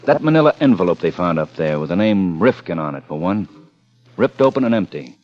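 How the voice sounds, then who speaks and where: A muffled old radio recording with music behind carries a police lieutenant. His voice is on the low side but very animated in pitch, while his questioning stays calm, dry and understated, in fifties crime drama style. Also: a little fast. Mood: weary, businesslike.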